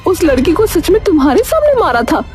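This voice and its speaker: A woman's voice: extremely high pitch, big pitch swings, fairly quick, darkish tone, voice pushed up. A woman voicing a suspicious, hurt wife who questions her husband accusingly in a Hindi cartoon story. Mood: shocked, accusing. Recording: music under the voice.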